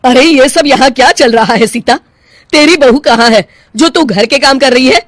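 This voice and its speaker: A woman voicing a bossy, sharp-tongued older mother-in-law in a cartoon, scolding and sarcastic. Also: high-pitched, normal speed, no echo, studio quality. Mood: indignant, commanding. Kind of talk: Hindi cartoon voice acting.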